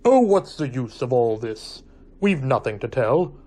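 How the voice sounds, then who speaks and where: A man acts as a society gentleman in a radio mystery drama. He is a flattering, self-deprecating playboy with a florid, theatrical way of talking. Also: mid-range voice, measured pace. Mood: defensive. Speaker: actor in an amateur radio play.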